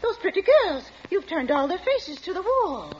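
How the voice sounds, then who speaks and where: A woman's voice: extremely high pitch, very animated, normal speed, faint music behind. An actress playing an anxious, troubled landlady in a 1940s radio suspense drama. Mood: distressed. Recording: muffled, reverberant 1940 radio recording.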